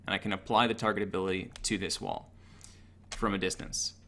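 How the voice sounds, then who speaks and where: A man's voice: sits low, level tone, fairly quick, pushed and bright, slight background noise. A man giving an informal, technical tutorial over gameplay in a matter-of-fact, analytical way. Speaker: gaming tutorial narrator.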